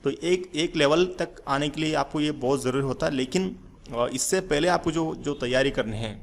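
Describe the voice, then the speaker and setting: A man's voice: a little high, moderate pace, gravelly. A man teaching English in a rambling, filler-heavy way, walking through slides. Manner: rambling with many fillers and restarts. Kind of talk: slide-based lesson.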